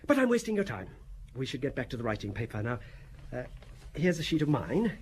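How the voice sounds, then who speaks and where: An actor playing a courteous, obsessive middle-aged gentleman of the law in an audio drama, talking in an eager, effusive, theatrical way. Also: mid-range voice, big pitch swings, a little fast, slight background noise, close to an everyday voice. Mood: flustered, confiding.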